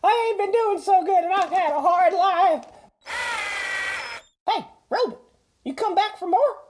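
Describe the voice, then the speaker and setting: A scruffy junkie bird in a comedy audio drama. The voice is extremely high and small. It rambles crudely in slang, brash and utterly shameless, always on the scrounge. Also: female voice, expressive, unhurried, background noise. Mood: agitated, brash. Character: foul-mouthed junkie bird, brash moocher.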